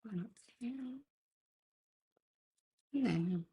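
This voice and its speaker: Female Australian craft host, gravelly and croaky, slow and chatty, talking through hands-on work live. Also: medium pitch, warm tone. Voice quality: husky, croaky. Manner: unhurried, chatty, murmuring to herself while working. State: croaky voice, clearing throat. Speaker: host of a craft demonstration livestream. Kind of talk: casual live craft tutorial, talking while working.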